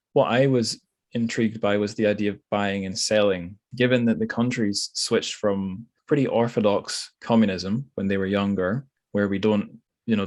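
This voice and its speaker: A man's voice: fairly low pitch, dry recording. A man hosting a podcast, casually discussing literature and thinking aloud in an informal way. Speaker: podcast host.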